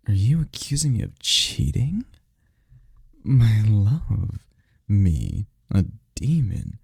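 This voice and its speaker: Man acting a gravelly, low-voiced incubus lover. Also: darkish tone, dry recording, clean studio sound, exaggerated voice. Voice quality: low, gravelly, husky, breathy. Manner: slow, intimate, often dropping into whispers. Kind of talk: intimate ASMR boyfriend roleplay. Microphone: close-up microphone, dry sound.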